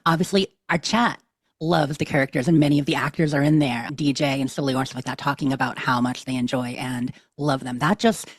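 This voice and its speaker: A woman's voice: extremely low pitch, fairly even intonation, a little fast, slightly rough, dry recording, studio quality. A woman talking casually and effusively in a panel conversation, encouraging others as an interviewer. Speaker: podcast cast interviewer.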